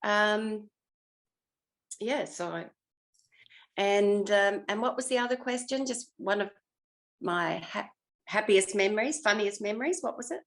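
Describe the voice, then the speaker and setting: A woman's voice: medium pitch, measured pace, pauses often. An older woman with an Australian accent reminisces casually as an interview guest, modest and often trailing off mid-thought. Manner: self-effacing, rambling, trailing off mid-thought. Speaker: interview guest, former television actress.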